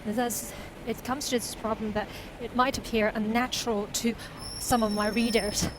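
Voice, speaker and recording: A woman whose first language is Cantonese, chatting casually to a vlog audience in a halting, self-correcting way. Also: on the high side, flat delivery, noisy background. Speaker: vlogger and novelist.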